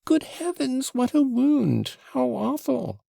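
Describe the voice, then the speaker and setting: A man reading aloud and voicing an excitable, fretful middle-aged mother who gushes in a high, swooping voice. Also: a little slow, creaky, slightly rough, no room sound, exaggerated voice. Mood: alarmed. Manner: gushing, breathless, high and swooping. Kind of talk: audiobook reading of a classic novel.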